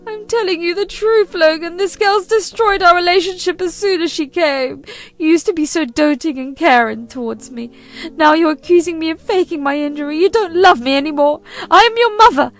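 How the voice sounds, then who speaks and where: A woman's voice: very high-pitched, normal speed, voice pushed up. A woman voicing a manipulative older mother-in-law who whines, accuses and melodramatically plays the victim. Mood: shrill accusing.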